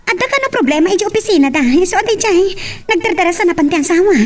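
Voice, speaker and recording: A woman's voice: very high voice, lively intonation, racing, a little hiss or hum. A woman with an Ilocano accent playing a suspicious young wife in an audio drama, questioning insistently. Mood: upset. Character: suspicious young wife, demanding the truth from her husband. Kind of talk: amateur Ilocano radio-style romance drama acting. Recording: distant from the microphone.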